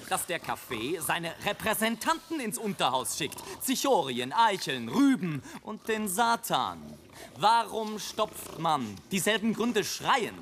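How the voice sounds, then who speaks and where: An actor playing a sardonic airship traveller who looks down on mankind, mocking and oratorical in a literary radio play monologue. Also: male voice, sits high, fairly bright tone, slight background noise, full character voice. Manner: mocking, oratorical, rolling long sentences. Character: sardonic male airship traveller, grimly mocking his fellow men.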